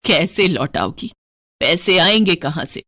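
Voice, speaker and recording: A woman's voice: on the low side, big pitch swings, measured pace, fairly bright tone, heavily acted. A woman voicing a despairing, bitter elderly village mother-in-law who laments mournfully in a story.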